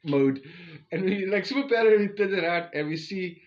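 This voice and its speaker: A forty-year-old South African man casually telling a personal story to his viewers in an expressive, chatty way. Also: high voice, a little fast, highs cut off. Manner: expressive, chatty, acts out quoted exchanges. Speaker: vlogger.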